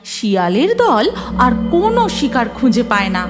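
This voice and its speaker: A woman's voice: fairly high pitch, wildly swooping pitch, a little slow. A woman narrating a scripted cartoon story. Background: music.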